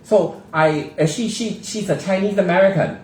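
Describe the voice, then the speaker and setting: A man's voice: sits high, moderate pace, reverberant, a little hiss or hum, poor audio. A man with a Singaporean accent chatting as co-host and telling stories with a lot of animation. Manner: animated storyteller, puts on a Hong Kong accent. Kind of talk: casual chat.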